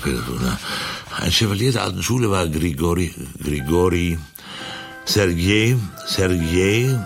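Low-voiced man rehearsing over music. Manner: halting, repeating names and correcting himself. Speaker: voice performer rehearsing a script. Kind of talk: reading narration aloud in rehearsal, with retakes.

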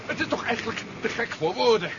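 A man's voice: extremely high pitch, animated, fast talker. A male actor playing a troubled, doubting civilian confidant who searches for words in a science fiction radio play. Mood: troubled, bewildered. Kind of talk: 1970s science fiction radio drama acting. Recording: dull, noisy 1970s radio drama recording.

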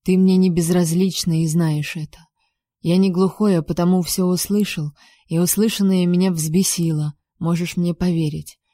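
A woman's voice: on the low side, fairly even intonation, normal speed, warm tone, no room sound, clean studio sound, close to an everyday voice. A woman reading an audiobook, voicing a stern, jealous king who gives curt commands.

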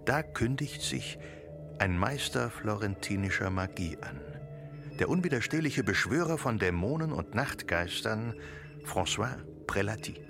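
A man's voice: fairly low pitch, animated, measured pace. Serious male storyteller, music behind. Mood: portentous. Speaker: narrator. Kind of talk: literary scripted radio drama narration.

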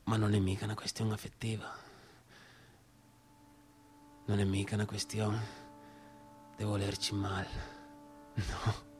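A man's voice: on the low side, completely flat delivery, moderate pace, slight background noise, lightly acted. An actor playing a volatile, obsessive, defiant young man in a stage monologue, with a northern Italian accent and an agitated, repetitive delivery. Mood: agitated, insistent. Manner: agitated, repetitive, swinging from shouts to whispers. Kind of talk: theatrical monologue, stage acting.

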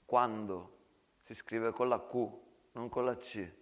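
A man's voice: mid-range voice, steady tone, slow pace, lightly acted. A man playing a fatherly army captain in a stage drama, speaking plainly and directly. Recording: long reverb, loud guitar music behind.

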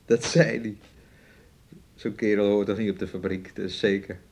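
An actor playing a domineering, resentful young fiancé in a radio play, with a taunting, needling way of talking. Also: male voice, fairly low pitch, fairly even intonation, moderate pace, creaky, a little mellow. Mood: mocking. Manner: speaks of himself and her in third person, taunting.

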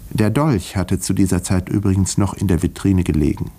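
A composed doctor with a fairly low voice. His delivery is measured, precise and dry, that of an educated gentleman in a 1950s German radio play. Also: steady tone. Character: composed, educated male English doctor narrating a mystery. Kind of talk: 1950s German radio drama narration and dialogue.

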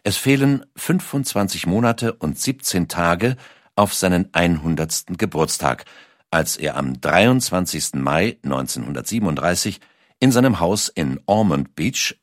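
Deep-voiced male documentary narrator. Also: dry recording, clean studio sound. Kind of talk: scripted narration.

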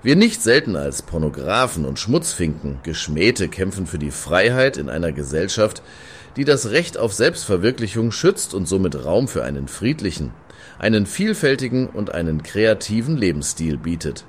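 An actor playing a flirtatious, cheeky club hedonist in a radio play, teasing and declamatory. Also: male voice, deep voice, sing-song, moderate pace, slight background noise. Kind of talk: German radio play acting.

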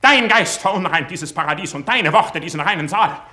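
An actor playing a proud, hot-blooded young poet in a classical German verse radio play, declaiming fervently. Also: male voice, high-pitched, lively intonation, brisk, exaggerated voice. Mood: outraged. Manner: declamatory, fervent, swinging from hushed to outraged.

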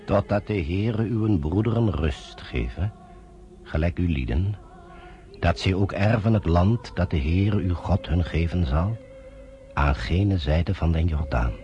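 An actor playing a solemn older retired British army general who narrates in a grave, measured way. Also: male voice, low-pitched, warm tone, lo-fi sound, soft background music. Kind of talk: 1950s radio drama narration.

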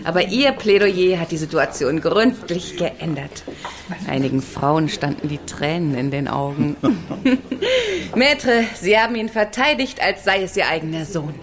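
Sharp-witted, outspoken woman. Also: lowish voice, very animated, measured pace, a crowd in the background. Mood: teasing, probing. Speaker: actor in a radio crime drama. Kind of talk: German radio drama acting.

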